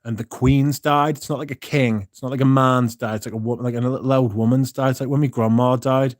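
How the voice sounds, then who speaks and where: A man's voice: medium pitch, steady tone, fairly quick, dry recording, clean studio sound. Tired, sweary man. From Britain. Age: 30s. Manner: rambling, sweary, frequent restarts. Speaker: streamer. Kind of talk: casual livestream chat. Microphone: phone.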